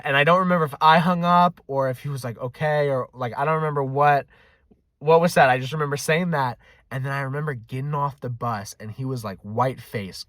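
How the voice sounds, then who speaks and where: A man's voice: mid-range voice, a bit bright, no room sound, studio quality. A man telling anecdotes in a casual, rambling, self-deprecating way, swearing freely as he hosts a video. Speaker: video host. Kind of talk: casual rambling storytelling to camera.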